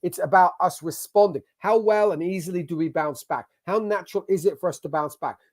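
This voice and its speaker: Upbeat English man, fairly high voice. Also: brisk, darkish tone. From England. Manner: emphatic, motivational. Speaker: business coach. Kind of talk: online masterclass presentation. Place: at a computer sharing his screen.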